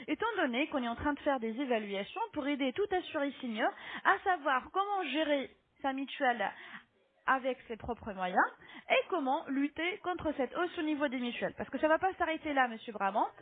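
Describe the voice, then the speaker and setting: A woman making a phone sales pitch as an appointment-booking agent, polite but insistent, often ending on a checking question. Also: lively intonation, moderate pace. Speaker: telemarketing agent booking health insurance appointments. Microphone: telephone. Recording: lo-fi muffled phone call.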